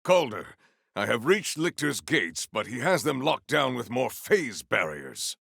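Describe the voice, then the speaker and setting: A man playing a proud, stern warrior in a video game, speaking formally and theatrically in grandiose declarations with a gravelly edge. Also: mid-range voice, very animated, unhurried, fairly bright tone, no room sound, studio quality, heavily acted. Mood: solemn, formal. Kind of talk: video game character voice lines.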